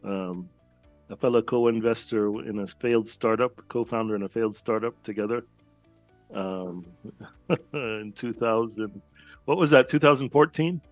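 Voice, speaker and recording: Dull-sounding low-voiced male host. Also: unhurried, dry recording, soft background music. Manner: friendly, rambling, lots of "um" and "uh". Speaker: interview host. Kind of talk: casual interview introduction.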